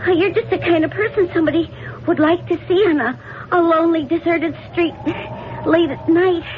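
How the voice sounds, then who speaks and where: A jittery, talkative young waitress in an old radio thriller, very high in pitch. She stammers breathlessly in plain working-class speech. The sound is muffled, with faint hiss. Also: normal speed. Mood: chatty, nervous. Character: nervous, chatty working-class young woman, a diner waitress. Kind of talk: 1970s radio drama acting.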